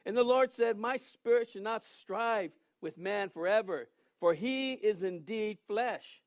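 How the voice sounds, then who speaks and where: A man in his sixties preaching a sermon in a joking, conversational way, reading scripture aloud slowly between asides. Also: high-pitched, no room sound. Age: 60s. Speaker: pastor.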